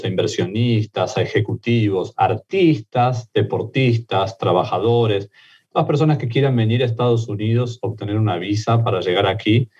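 A man's voice: fairly low pitch, darkish tone, muffled recording. A middle-aged man with an Argentine accent, a guest who explains methodically in an interview, with frequent fillers and restarts. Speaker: immigration lawyer guest.

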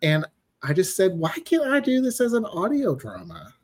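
A man's voice: high-pitched, huge pitch swings, normal speed, darkish tone. A man speaking as a writer guest, casually recounting how his work came about. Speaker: guest, audio drama writer.

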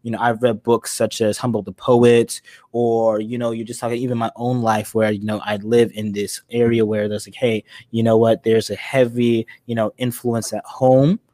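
A man's voice: medium pitch, flat delivery, normal speed, mellow, no room sound. A man hosting a casual podcast interview, asking questions in a rambling way full of fillers. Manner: rambling, many fillers like "you know". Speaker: podcast host.

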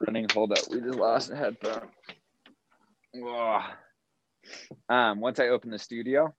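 A man's voice: lowish voice, no echo, dull audio. An American man of thirty chatting casually and candidly in a laid-back way, as a guest on a podcast. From Colorado, United States. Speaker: yoga teacher, podcast guest. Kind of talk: casual conversation.

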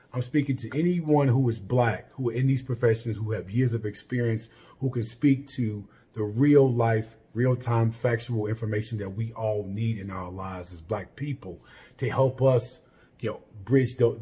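Earnest, emphatic man with a loud voice. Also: on the low side. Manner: earnest, emphatic, repeats and restarts phrases. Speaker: podcast host. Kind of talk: solo podcast monologue.

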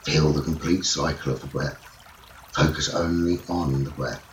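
A man leading a guided sleep meditation as a teacher, speaking in soothing, measured short phrases. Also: very deep voice, fairly even intonation. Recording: roomy echo, running water noise behind. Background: trickling stream water nature sounds.

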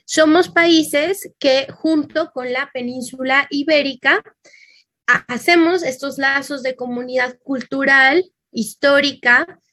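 High-voiced Mexican woman lecturing. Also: slow, pushed and bright, no room sound. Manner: conversational, thinking aloud with fillers. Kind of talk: informal lecture.

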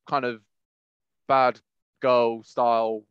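A man's voice: medium pitch, level tone, extremely slow, clear, resonant tone, no room sound, dull audio. A man chatting casually as a podcast co-host in a rambling, enthusiastic way. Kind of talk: casual chat.

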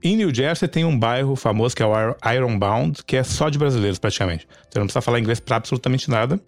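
Fast-talking man, animated storyteller. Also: medium pitch, no room sound. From Brazil. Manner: animated storytelling with frequent "sabe?" and "cara". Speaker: Brazilian YouTuber living in the US. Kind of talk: casual conversation.

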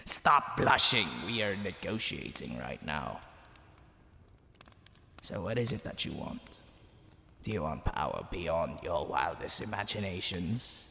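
A man voicing a smug, flirtatious demon king in a theatrical ASMR roleplay, teasing the listener, with creaky tones that drop into breathy whispers. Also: deep voice, sing-song, sharp tone, exaggerated voice. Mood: teasing curiosity. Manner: theatrical, drawn-out, teasing delivery. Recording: long hall reverb, background hiss and ambience.

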